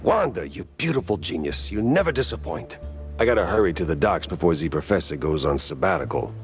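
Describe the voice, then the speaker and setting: An actor playing a world-weary, wisecracking cartoon private detective with a wry, laconic delivery. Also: male voice, low voice, animated, brisk, soft background music. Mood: eager. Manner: wry, laconic, hesitant drawl. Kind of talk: 1930s hardboiled detective game acting.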